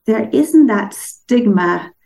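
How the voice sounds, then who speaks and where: A woman from Denmark, telling anecdotes casually as an interview guest in a halting, animated way with quoted asides. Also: a little high, vocal fry, recorded from a distance. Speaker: interview guest, children's book author.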